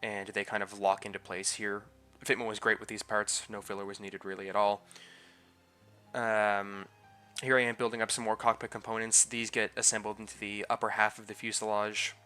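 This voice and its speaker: A man's voice: lowish voice, flat delivery, moderate pace, sharp tone, clear, resonant tone, soft background music. A man narrating his model build in a casual voice-over. He sounds a bit nasal and congested from a head cold and talks loosely, with many fillers and restarts. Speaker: scale model builder and channel host.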